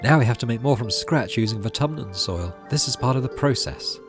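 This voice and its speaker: A man voicing a brisk, no-nonsense colonist mother in a game, instructing her child matter-of-factly. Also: mid-range voice, animated, over music, natural voice. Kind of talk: reading visual novel game dialogue.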